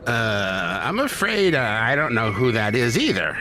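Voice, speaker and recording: A man's voice: mid-range voice, very animated, unhurried, bright, forceful tone, slight background noise. A man playing a nervous, evasive elderly administration clerk, hesitant and defensive, in an audio drama. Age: older.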